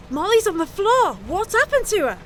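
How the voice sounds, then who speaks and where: A woman's voice: fairly high pitch, sing-song, unhurried, heavy background noise. A woman playing an easily impressed member of a friend group in an audio play. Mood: shocked.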